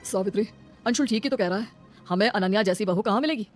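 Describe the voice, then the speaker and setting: A woman's voice: mid-range voice, fast talker, close to an everyday voice. A woman voicing a kindly, grieving older father-in-law in a cartoon family melodrama, earnest and consoling, with music behind. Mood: persuasive.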